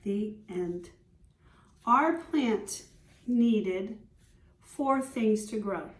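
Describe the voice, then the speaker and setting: A woman schoolteacher reading a children's storybook aloud, explaining simply and pausing to put questions to young listeners. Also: medium pitch. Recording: roomy echo, distant microphone.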